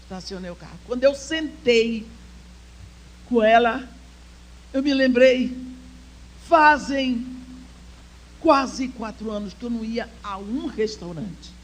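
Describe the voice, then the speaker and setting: Older woman giving slow, heartfelt testimony. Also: on the high side, poor audio. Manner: heartfelt storytelling, swings between soft near-whispers and emphatic exhortation. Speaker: conference speaker. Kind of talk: personal testimony at a church women's conference.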